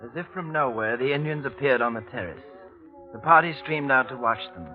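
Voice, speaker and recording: An actor as a troubled young gentleman, dull old-radio sound. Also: mid-range voice, lively intonation, brisk, lightly acted. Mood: grave narration. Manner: formal, literary, dryly sardonic. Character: troubled young gentleman in love, narrating a mystery. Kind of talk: old radio drama acting and first-person narration. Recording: dull old radio recording, music behind.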